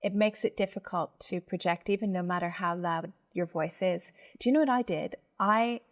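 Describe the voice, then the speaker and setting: A young adult woman hosting a podcast, chatty and animated as she tells stories and often agrees with her guest. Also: on the low side, normal speed. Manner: chatty, animated storyteller, frequent agreeing "yeah". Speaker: podcast host. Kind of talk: casual podcast chat.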